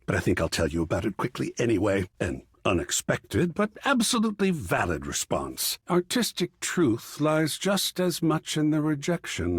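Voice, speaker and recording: Wildly swooping, theatrical living artwork. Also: male voice, medium pitch, slightly rough, dry recording, clean studio sound. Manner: theatrical, florid, effusive. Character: whimsical, pretentious, exuberant living artwork, an adult male. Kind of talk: video game character acting.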